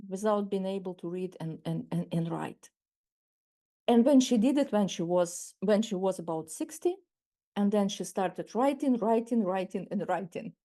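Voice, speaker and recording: A Ukrainian-accented woman of around sixty leads a webinar masterclass over a video call. Her voice sits a little high but sounds mellow. She speaks in a measured way and often circles back to restart her phrases. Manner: measured, with frequent restarts and repeated words. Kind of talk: webinar talk.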